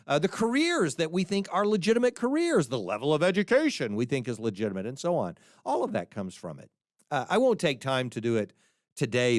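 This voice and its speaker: A man's voice: fairly high pitch, no echo, studio quality. A man with an American accent hosting a podcast, talking through ideas casually and restarting his sentences as he thinks aloud. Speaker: podcast host. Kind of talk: casual spoken monologue on faith and culture.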